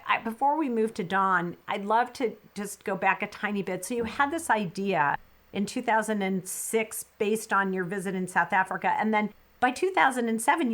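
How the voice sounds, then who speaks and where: A woman's voice: mid-range voice, a bit bright. A middle-aged woman hosting a podcast interview, chatty and affirming, with frequent likes and uhs. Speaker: podcast host.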